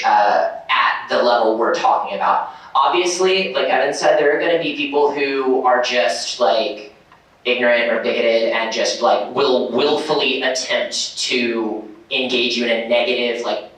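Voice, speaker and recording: A man's voice: mid-range voice, fairly bright tone. A man speaking on a convention panel in a casual, rambling way, with many fillers and restarts. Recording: echoey hall from far off.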